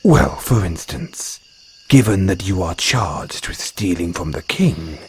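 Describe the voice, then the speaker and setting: Male actor as deep-voiced naga, very slow, formal, guarded yet tender, flirtatious, audio roleplay, crickets behind. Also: sing-song, slight background noise, full character voice. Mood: musing. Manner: slow, deliberate, formal phrasing with pauses. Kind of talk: intimate audio roleplay acting. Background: crickets and jungle insect sounds.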